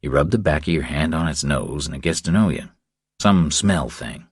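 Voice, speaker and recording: A man narrating a thriller audiobook in a very low voice. His delivery moves up and down with plenty of expression, and the sound is close and dry. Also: lively intonation, moderate pace, husky, no room sound.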